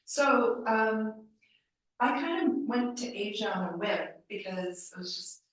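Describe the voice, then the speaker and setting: A woman's voice: on the high side, lively intonation, measured pace. A woman from Toronto, raised speaking Serbian first, chatting as a podcast guest in a storytelling way. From Toronto, Canada. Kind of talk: casual interview chat. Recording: echoey room, distant mic.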